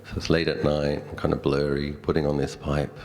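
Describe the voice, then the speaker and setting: Casting: middle-aged Australian male, extremely low register, Buddhist teacher sharing personal anecdotes conversationally and reflectively, light background noise. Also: completely flat delivery, moderate pace, a little mellow. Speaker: Buddhist monk giving a talk. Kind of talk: dhamma talk with personal storytelling.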